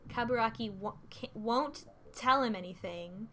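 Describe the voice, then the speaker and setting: A woman's voice: a little high, measured pace, bright, forceful tone, a little hiss or hum. A woman co-hosting a podcast, casually retelling a story from notes in an informal, sweary, mock-dramatic way. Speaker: podcast co-host recapping a game.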